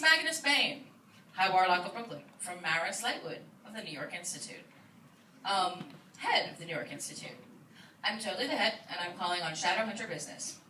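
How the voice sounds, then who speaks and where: A woman's voice: lowish voice, bright, forceful tone. Officious, flustered woman leader, acted by a woman, comic live reading, distant mic, faint noise. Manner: officious, formal. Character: stiff, self-important, flustered woman in charge. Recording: roomy, distant old iPod recording, faint noise.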